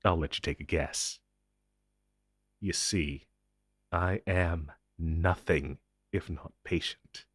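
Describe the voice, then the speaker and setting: A man playing a cold, suspicious government agent who interrogates in a deliberate, sardonic way, in a dramatic audio roleplay. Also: low voice, breathy edge, no room sound, studio quality, heavily acted. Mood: menacing, patient. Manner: deliberate, cold, sardonic, with sudden emphatic swells.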